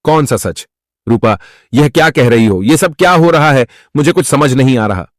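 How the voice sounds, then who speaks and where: A serious young fiancé from a cartoon, voiced by a man. His pitch is average and his manner formal and guarded. The sound is very dry. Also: lively intonation, moderate pace, no echo, natural voice. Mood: confused. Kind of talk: Hindi cartoon story acting.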